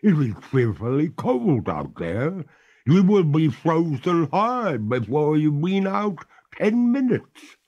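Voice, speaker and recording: A genteel lady ghost of the water pleads in a high, stretched, imploring voice, as a man reading the story puts her on. Her lines are slow and swoop widely in pitch, with a slightly odd, strained timbre. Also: big pitch swings, a little mellow, muffled recording, full character voice. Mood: protesting.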